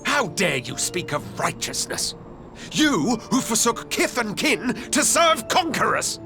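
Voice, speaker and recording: High, wildly swooping, furious rebel. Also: male voice, huge pitch swings, measured pace, music in the background, full character voice. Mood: righteous fury. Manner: fierce, indignant, declamatory. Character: righteous, vengeful rebel fighter hunting traitors. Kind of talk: video game cutscene acting.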